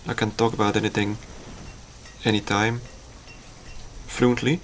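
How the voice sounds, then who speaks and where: A man whose first language is Dutch, casually talking through his game on a livestream in a halting, thinking-aloud way. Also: fairly low pitch, little pitch movement, a little hiss or hum. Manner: halting, self-deprecating, thinks aloud with fillers. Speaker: streamer.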